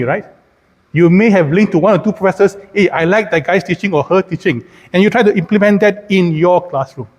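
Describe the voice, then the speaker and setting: Male keynote lecturer, middle-aged, Malaysian accent, higher-pitched voice, long hall reverb. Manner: addresses listeners with rhetorical questions. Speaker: keynote speaker, engineering professor. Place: large indoor conference hall, speaking at a podium.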